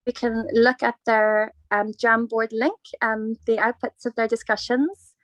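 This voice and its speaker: A woman moderating an online conference session over a video call, hesitant with many pauses and restarts. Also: fairly high pitch, dry recording, low-quality recording.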